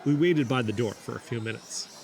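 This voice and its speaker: A man playing an earnest amateur investigator, narrating a podcast drama in a measured storytelling manner. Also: mid-range voice, gravelly, a little mellow, slight background noise, lightly acted. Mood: intrigued. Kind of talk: scripted first-person narration.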